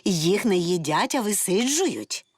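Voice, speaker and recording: A woman's voice: mid-range voice, very animated, unhurried, slightly rough, no echo. An actress playing a kindly, playful grandmother pig in a children's cartoon dub.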